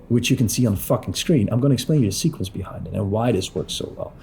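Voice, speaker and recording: A man talking through a screen-share tutorial as a business coach in a lowered late-night voice, blunt and pushy, swearing freely. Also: dark tone, slight background noise.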